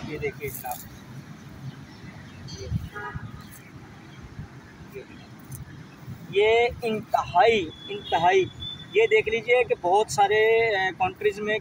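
A man with a Pakistani accent giving an informal how-to vlog, explaining emphatically and repeating himself. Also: sits high. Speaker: angler presenting a fishing tutorial. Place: outdoors in a park, later another spot after leaving it. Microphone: phone camera, some distance away. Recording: distant mic, noisy ambient background.

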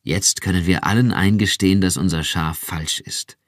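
A man's voice: low-pitched, normal speed, no echo, studio quality, lightly acted. A man voicing an earnest young wife who pleads insistently and fervently.

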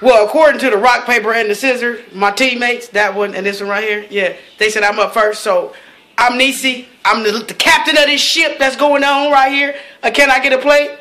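A woman's voice: on the high side, steady tone, brisk, sharp tone. A woman in her thirties from Los Angeles, captaining a cooking team with playful, boastful trash talk into a phone camera at home. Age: 30s. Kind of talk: casual audition pitch. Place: small home kitchen and living room. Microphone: handheld phone camera.

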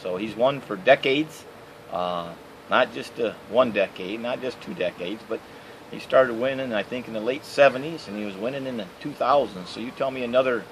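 A middle-aged man with a Michigan accent, answering interview questions outdoors in a halting way with many fillers. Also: mid-range voice, a little hiss or hum, rough recording. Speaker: interviewee, canoe racer. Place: outdoors by trees and a house.

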